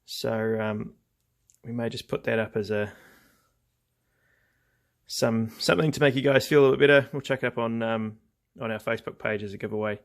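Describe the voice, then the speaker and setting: A man's voice: medium pitch, brisk, creaky. A man giving a casual, hands-on craft demonstration, explaining each step in an informal, laid-back way. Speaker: craft tutorial host.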